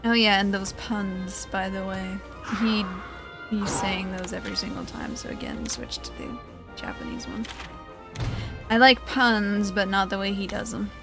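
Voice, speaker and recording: Slow-paced woman gamer over loud music. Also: medium pitch, sing-song, vocal fry. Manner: self-deprecating, muttering reactions to the game. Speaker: gaming video creator. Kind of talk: casual gameplay commentary. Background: video game music.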